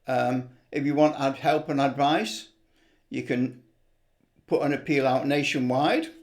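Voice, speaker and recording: A man's voice: medium pitch, measured pace. Enthusiastic older hobbyist man, rambling casually. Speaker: hobbyist video presenter. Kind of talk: casual unscripted vlog talk.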